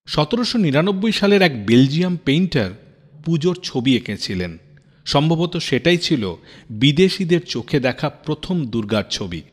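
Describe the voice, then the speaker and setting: Man narrating with lively, dramatic rises and falls. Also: mid-range voice. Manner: expressive, dramatic storyteller pacing. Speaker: radio show host. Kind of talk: scripted storytelling narration. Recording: reverberant narration over faint music.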